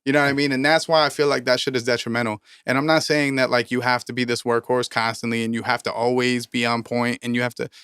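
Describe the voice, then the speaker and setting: A man's voice: medium pitch, flat delivery, fast talker, few pauses, fairly bright tone, clear, resonant tone, no room sound, clean studio sound. A thirty-year-old man co-hosting a music business podcast in casual chat, ranting emphatically and swearing often. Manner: emphatic, profane, preachy motivational rants. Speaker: podcast co-host, rapper.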